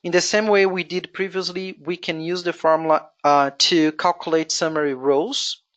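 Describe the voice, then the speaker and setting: A Brazilian-accented presenter whose first language is Portuguese, walking through a software screen-share tutorial step by step and thinking aloud as they go. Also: medium pitch, fairly even intonation, moderate pace. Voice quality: explains step by step, thinking aloud with frequent "you know". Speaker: webinar presenter.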